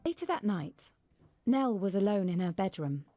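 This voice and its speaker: A woman narrating a scripted children's story in an expressive storyteller's way, acting out the dialogue. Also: medium pitch, normal speed, loud music behind the voice.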